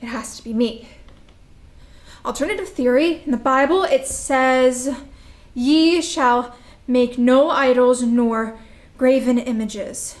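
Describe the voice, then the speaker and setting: A woman's voice: high-pitched, slow. A woman acting a frantic, superstitious young woman in a staged play reading, agitated and repeating herself as she mutters. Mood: panicked, fixated.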